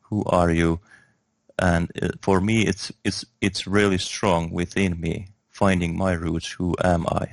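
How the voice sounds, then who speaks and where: A man from Finland, a guest in a spiritual interview, speaking slowly and hesitantly with many fillers and restarts. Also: low voice, flat delivery, gravelly, dry recording.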